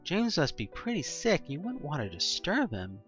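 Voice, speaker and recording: A narrator giving a theatrical, expressive reading of a scripted horror story told in the second person. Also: mid-range voice, moderate pace, highs cut off, faint music behind. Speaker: audio play narrator.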